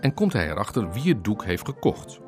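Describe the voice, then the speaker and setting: A man's voice: lowish voice, sing-song, fairly quick, faint music behind. A man narrating a radio drama from a script as its storyteller.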